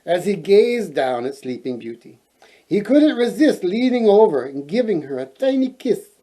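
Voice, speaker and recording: A man reading a children's fairy tale aloud in a playful, expressive way, adding joking asides. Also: high-pitched, moderate pace. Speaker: storyteller, host.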